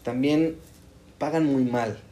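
A man's voice: mid-range voice, steady tone, takes it slowly, mellow. A Mexican man in casual chat, reflecting and explaining at length.

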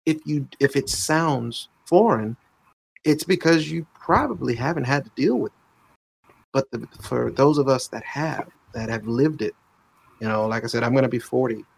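A man in his late thirties speaks thoughtfully in a relaxed conversation, often restarting his sentences and leaning on filler phrases. His voice is mid-pitched with a steady gravelly fry. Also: normal speed, slight background noise, poor audio. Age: 30s. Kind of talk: reflective conversation.

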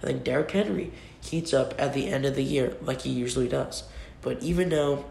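A man's voice: mid-range voice, fairly quick, creaky, darkish tone. A young man with a cold hosting a casual solo sports podcast, rambling and restarting sentences as he makes his picks. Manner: rambling, restarts often, informal. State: has a cold. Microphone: computer mic. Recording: echoey room with faint noise.

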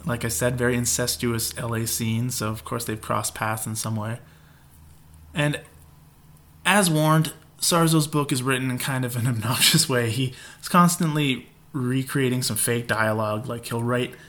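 A man's voice: medium pitch, fairly even intonation, measured pace, fairly bright tone. A man hosting a podcast in a casual monologue, irreverent and profane, with laughing asides. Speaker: podcast host.

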